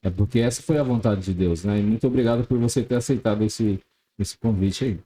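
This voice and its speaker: A man's voice: lowish voice, brisk, dark tone, breathy edge. A man in his twenties co-hosting a religious podcast, easygoing and affirming. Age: 20s. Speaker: podcast co-host. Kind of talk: casual religious podcast chat.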